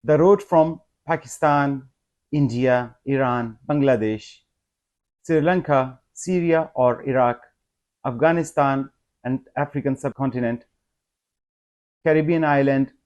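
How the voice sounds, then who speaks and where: Slow, earnest man. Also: medium pitch, darkish tone. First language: not English. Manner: earnest, measured, rising to emphatic appeals. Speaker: vlogger. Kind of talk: personal vlog monologue.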